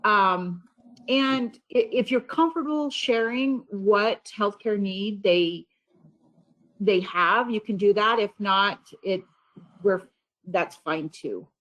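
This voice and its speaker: Halting middle-aged woman coach. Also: medium pitch, fairly bright tone, rough recording. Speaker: parent coach. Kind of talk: online meeting chat.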